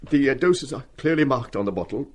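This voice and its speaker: An actor playing an ailing, repressed middle-aged schoolmaster in a radio play, formal, hesitant and apologetic in manner. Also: male voice, medium pitch, animated, a little fast, close to an everyday voice. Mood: formal, gently moved.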